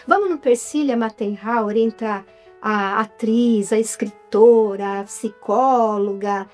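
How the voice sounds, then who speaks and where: A woman with a Brazilian Portuguese accent, a guest chatting in a relaxed, animated way in an interview. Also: fairly high pitch, takes it slowly, soft background music. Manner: animated, chatty, frequent tag questions. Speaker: guest, writer and actress.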